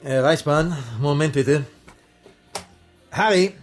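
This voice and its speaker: An actor playing a harassed, gruffly good-natured circus director in his forties, in a 1980s German radio drama. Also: on the high side, takes it slowly. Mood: decisive. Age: 40s. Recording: radio play, faint music behind.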